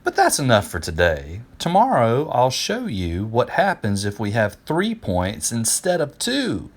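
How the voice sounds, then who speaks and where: Man playing an animated ancient Greek teacher. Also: mid-range voice, unhurried, voice pushed up, full character voice. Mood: patient, emphatic. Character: Euclid, patient, enthusiastic ancient Greek man teaching friends.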